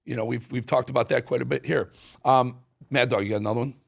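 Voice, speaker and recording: A man's voice: mid-range voice, steady tone, quick pace, creaky. An American man hosting a talk show, giving emphatic, opinionated political commentary and repeating phrases for punch.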